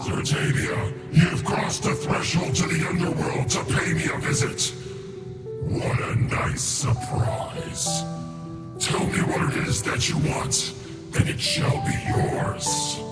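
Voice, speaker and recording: A man playing a sinister, possessive god of the underworld, breathy and ominous, in an audio myth. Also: high voice, steady tone. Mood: gloating menace. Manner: slow, ominous, grandiose. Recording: echoing, loud music behind.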